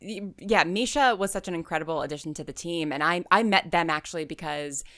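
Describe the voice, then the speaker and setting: A woman's voice: on the low side, fairly quick, fairly bright tone, no room sound, clean studio sound. An American woman talking casually as an interview guest, telling stories and acting out her own thoughts. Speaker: podcast guest, audio drama writer and creator.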